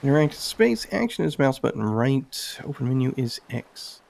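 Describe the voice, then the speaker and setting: A man's voice: medium pitch, big pitch swings, normal speed, vocal fry, darkish tone, slight background noise. A man giving casual, tentative let's-play commentary, thinking aloud and reading on-screen text as he plays. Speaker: gaming video host. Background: game music.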